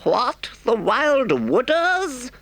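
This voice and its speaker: High, pompous, conceited toad gentleman. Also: wildly swooping pitch, no echo, heavily acted. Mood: alarmed. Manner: pompous, plummy, drawn-out exclamations. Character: conceited, excitable, theatrically contrite toad gentleman. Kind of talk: audiobook character voice.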